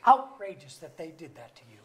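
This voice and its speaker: A woman playing a curmudgeonly middle-aged parent with a deadpan, deliberate wit in a staged comedy reading. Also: deep voice, a crowd in the background. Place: auditorium stage.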